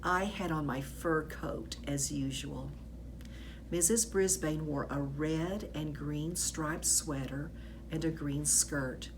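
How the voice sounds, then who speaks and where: Female storyteller for a children's read-aloud: slightly low, expressive, stretching key words, faint background noise. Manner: animated, with drawn-out emphasis on key words.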